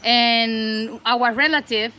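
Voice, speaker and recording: A woman's voice: fairly high pitch, fairly even intonation, takes it slowly, sharp tone, slight background noise. A woman from the Dominican Republic, a native Spanish speaker with a Dominican accent, earnestly explaining her cacao farm in simple English. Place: outdoors on a farm.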